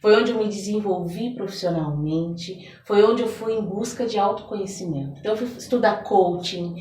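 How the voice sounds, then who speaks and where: A Brazilian woman talks as a podcast guest, sharing personal stories in a conversational way. She often checks in with short tag questions. Her mid-pitched voice comes through roomy echo, a little away from the mic. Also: normal speed, darkish tone. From São Paulo, Brazil. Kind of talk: personal storytelling in a conversational interview. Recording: echoey room, distant mic.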